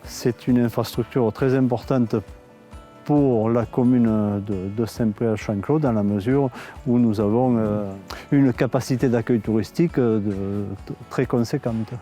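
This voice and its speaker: A man giving a measured, slightly hesitant explanation in an interview, in the manner of a local official. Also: mid-range voice, fairly even intonation, warm tone, faint music behind.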